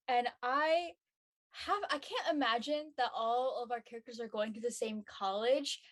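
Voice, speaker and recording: A woman with a fairly high-pitched voice chats casually on a panel. She is talkative and moves from thought to thought as she shares her predictions. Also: a bit bright. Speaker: actor on a drama panel. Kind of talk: casual chat.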